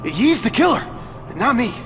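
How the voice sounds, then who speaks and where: An actor playing a frightened, evasive weakling in a video game, stammering and pleading. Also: male voice, extremely high pitch, very animated, moderate pace, over music, heavily acted. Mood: panicked. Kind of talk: dramatic video game acting.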